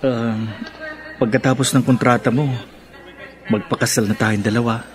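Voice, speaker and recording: An actor playing a devoted, worried young soldier in love, earnest and hesitant in a radio drama. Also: male voice, medium pitch, fairly even intonation, moderate pace, natural voice. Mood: hopeful, loving. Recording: music under the voice. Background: music.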